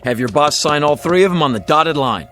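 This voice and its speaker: A man's voice: a little high, lively intonation, a little fast, fairly bright tone, soft background music. A man with an American accent playing a slangy, insistent used car salesman in a radio drama. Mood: eager, insistent. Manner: slangy, emphatic, salesman patter.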